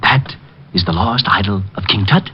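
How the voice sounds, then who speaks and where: An actor playing a frantic, paranoid heir in an old-time radio drama, agitated, stammering and pleading. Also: male voice, fairly low pitch, moderate pace, breathy edge. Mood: intense, ominous. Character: frantic, paranoid heir, man convinced of a deadly curse. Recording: dull, muffled old radio recording.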